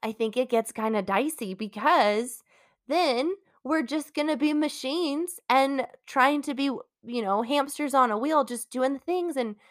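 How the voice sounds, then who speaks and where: Young adult woman, congested, solo podcast host; candid, rambling, encouraging delivery, slightly high pitch, dry close recording. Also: unhurried, no room sound. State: congested from a cold. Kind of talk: casual solo podcast talk.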